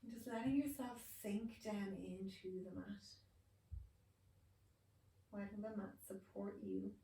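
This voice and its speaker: A woman teaching a yoga class, giving calm, slow, soothing cues while she is losing her voice. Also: mid-range voice. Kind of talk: guided yoga instruction. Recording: roomy, distant mic, faint ambience.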